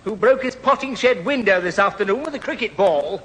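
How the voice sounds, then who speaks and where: A high-voiced, very animated fussy gentleman, pompous, prim and soon exasperated. He is performing radio comedy in a resonant hall before an audience. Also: brisk. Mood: stern, officious. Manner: pompous, prim, formal wording. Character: stuffy, proper, exasperated grown man, family acquaintance. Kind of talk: 1970s radio sitcom acting before an audience. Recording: echoing hall, old radio recording, audience.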